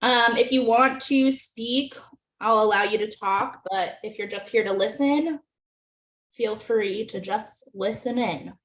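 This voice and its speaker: A woman staffing a commission meeting, giving brisk, practical instructions in casual phrasing. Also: on the high side, rough recording. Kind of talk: meeting coordination.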